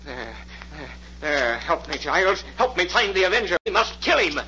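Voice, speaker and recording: An actor playing a mad, murderous professor in an old radio mystery, frantic, shrill and commanding. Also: male voice, big pitch swings, moderate pace, sharp tone, full character voice. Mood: urgent, frantic. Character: mad, murderous professor raving at his robots. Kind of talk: 1940s radio mystery acting. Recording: muffled, noisy old radio recording.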